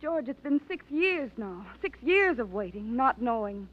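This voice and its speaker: A woman's voice: on the high side, big pitch swings, a little slow, a little hiss or hum. An actress playing a worried woman waiting years for news of her missing brother, in 1940s radio style. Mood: pleading. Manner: strained, pleading, emotional. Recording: dull old radio recording.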